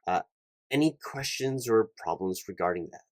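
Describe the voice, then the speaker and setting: A man playing a polite, fussy, slightly flustered hiring manager in a one-sided ASMR job interview roleplay, speaking formally and haltingly and often repeating himself. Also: lowish voice, expressive, a little mellow, slight background noise, natural voice. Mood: careful, formal. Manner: halting, repetitive, over-careful, formal.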